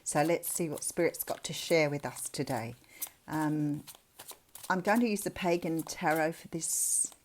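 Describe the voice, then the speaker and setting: Low-voiced Australian woman reading tarot. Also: measured pace, a little mellow, a little hiss or hum. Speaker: tarot reader, channel host. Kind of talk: casual spoken tarot reading.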